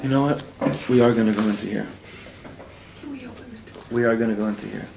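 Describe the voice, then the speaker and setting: A rabbi teaching a casual class to live students, animated and informal, dropping Hebrew and Yiddish words into his talk. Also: fairly low pitch, normal speed, gravelly, a little hiss or hum. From Israel. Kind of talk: casual spoken Torah class.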